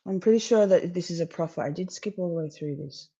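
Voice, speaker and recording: A woman's voice: lowish voice, quick pace, warm tone, slightly rough, muffled recording. A woman hosting a live stream and commenting on a trial in a rambling, chatty way, a bit wheezy today. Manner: chatty, rambling, self-correcting. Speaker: livestream host commenting on a trial. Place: at home with pets.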